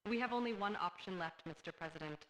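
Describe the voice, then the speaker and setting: A woman's voice: mid-range voice, quick pace, a bit bright, lightly acted. A woman playing an urgent, hard-line military adviser addressing a president in a horror animation. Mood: grim, urgent. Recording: roomy echo, faint noise.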